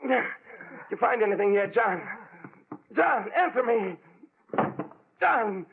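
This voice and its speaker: An actor playing a commanding, determined gentleman investigator in a vintage radio horror drama. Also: high-pitched, expressive, slow pace, breathy edge, exaggerated voice. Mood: desperate, urgent. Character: resolute commanding gentleman investigator, addressed as sir. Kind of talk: 1940s radio drama acting. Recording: muffled scratchy old radio recording.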